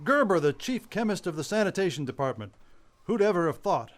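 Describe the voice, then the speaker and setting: An actor playing a brisk, authoritative police commissioner in a radio play, giving urgent commands. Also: male voice, high voice, big pitch swings. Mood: brisk, surprised.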